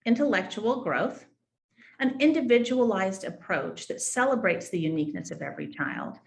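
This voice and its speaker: A woman's voice: mid-range voice, creaky. A woman educator giving an explanatory presentation on a school program.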